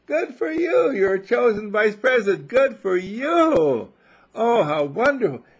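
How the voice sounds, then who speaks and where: A man's voice: sits high, big pitch swings, takes it slowly, breathy edge, highs cut off, pitched above the performer's own voice, heavily acted. A man doing gushing, cheering well-wishers. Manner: exclamatory, gushing.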